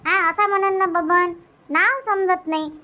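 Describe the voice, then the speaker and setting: Woman acting nagging, very high-pitched village mother. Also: fairly even intonation, measured pace, sharp tone, full character voice. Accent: Varhadi Marathi. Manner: nagging, repetitive. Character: scolding, busy young village mother. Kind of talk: cartoon comedy dubbing.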